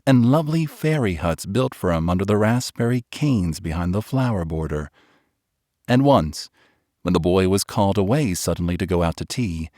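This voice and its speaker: A man reading a children's storybook aloud in a gentle, expressive way, easing the pace on tender moments. His voice sits on the low side yet rises and falls with a lot of life. He is recorded close and dry on a studio microphone. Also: dry recording. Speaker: audiobook narrator. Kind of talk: scripted storybook narration.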